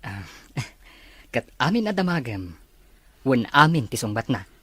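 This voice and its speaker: A man acting a husky, flirtatious big-brother type. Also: mid-range voice, sing-song, measured pace, pushed and bright, no room sound, exaggerated voice. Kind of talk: Ilocano radio drama acting.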